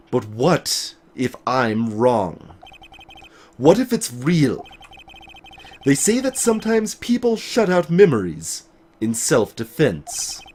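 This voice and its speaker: A man voicing a haunted, solemn game character confessing a dark memory, grave, halting and dramatic. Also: medium pitch, breathy edge, full character voice. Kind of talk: dramatic voiced reading of game dialogue.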